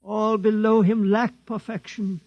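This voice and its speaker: A pompous old holy man of a 1950s radio science fiction play. His voice is high and heavily creaky, and he speaks dogma with menace and underlying fear. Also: level tone, measured pace, muffled recording. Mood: solemn, dogmatic. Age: older. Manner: solemn, pompous recitation that turns flustered.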